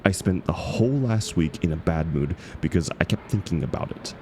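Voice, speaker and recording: A man's voice: low voice, animated, normal speed, noisy background. A man acting a grumpy, exasperated book club member in an audio roleplay, sarcastic, emphatic and indignant. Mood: annoyed. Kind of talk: scripted audio roleplay acted as one side of a conversation.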